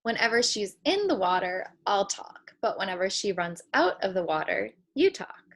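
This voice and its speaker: A woman's voice: mid-range voice, very animated, moderate pace, creaky. A woman playing a guarded, candid young woman on an awkward date in a play table read, speaking frankly and with measure. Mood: probing, encouraging.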